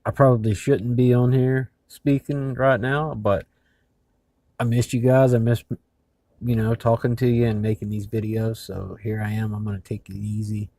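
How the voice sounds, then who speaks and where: A man hosting a video in casual narration, speaking haltingly with labored speech after mouth surgery. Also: medium pitch, flat delivery, warm tone, no echo. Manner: halting, hesitant. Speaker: video host.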